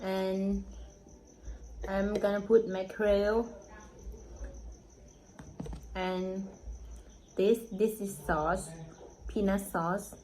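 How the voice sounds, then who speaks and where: Slow-talking Thai-accented woman, noisy recording. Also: mid-range voice, little pitch movement, darkish tone. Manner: simple, halting non-native English. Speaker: food vlogger. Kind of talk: casual food vlog.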